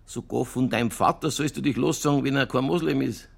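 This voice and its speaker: An earthy Bavarian police detective in a radio crime drama. His voice is creaky and husky, and he holds forth with stubborn, provocative opinions in broad colloquial speech. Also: medium pitch, brisk. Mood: declaiming, insistent.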